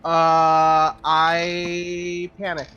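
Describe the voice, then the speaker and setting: Hesitant man with a higher-pitched voice. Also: monotone, extremely slow, sharp tone, smooth, dull audio, soft background music. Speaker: player. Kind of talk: casual tabletop game chat.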